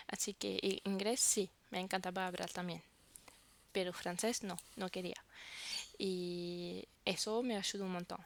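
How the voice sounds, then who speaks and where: A woman's voice: medium pitch, gravelly. A woman whose first language is French, vlogging casually to camera and searching for words as she talks.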